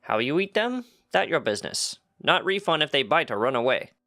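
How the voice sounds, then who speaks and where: A man voicing a gruff old graybeard trader in a storybook reading, with clipped, broken grammar. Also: a little high, big pitch swings, brisk, sharp tone, faint music behind, pitched above the performer's own voice, heavily acted. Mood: indifferent, brusque.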